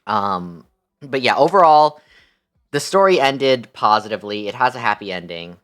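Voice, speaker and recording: A man's voice: mid-range voice, animated, normal speed, fairly bright tone. A young man livestreaming, chatting casually with his viewers in a playful, affectionate manner.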